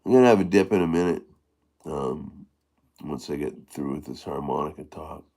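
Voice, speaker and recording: A middle-aged man with a low voice chatting casually about playing harmonica, halting and rambling with many fillers and restarts. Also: mellow. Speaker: harmonica player giving tips. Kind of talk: casual live-stream chat.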